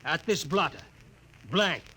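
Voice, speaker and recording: An actor playing a blustering, sarcastic police sergeant who badgers people in 1950s radio drama style. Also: male voice, a little high, expressive, slow pace, sharp tone, slightly rough, exaggerated voice. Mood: angry, badgering.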